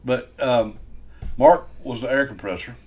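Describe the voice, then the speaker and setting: A middle-aged American man with a Southern accent joins a casual chat as co-host, recalling old times. His voice sits at a medium pitch, with faint ambient noise behind it. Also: a little slow, a little mellow. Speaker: co-host chiming in with memories.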